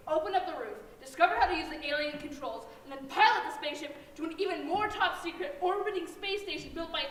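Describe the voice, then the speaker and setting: A woman playing an eager, talkative schemer in an amateur stage comedy, with excitable, explanatory delivery. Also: high voice, recorded from a distance, slight background noise. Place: school theater stage, distant microphone. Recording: echoey hall from afar, music behind.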